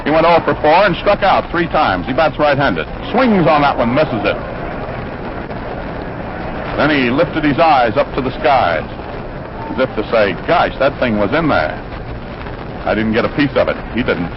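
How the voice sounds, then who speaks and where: Dull, noisy 1940s American radio baseball announcer. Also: male voice, mid-range voice, big pitch swings, normal speed, long pauses. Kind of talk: 1940s radio sports commentary. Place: ballpark broadcast position.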